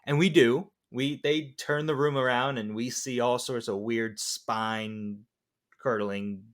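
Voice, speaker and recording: A man's voice: medium pitch, fairly even intonation, slow pace. A man in a casual, informal podcast-style film discussion, talking as a co-host.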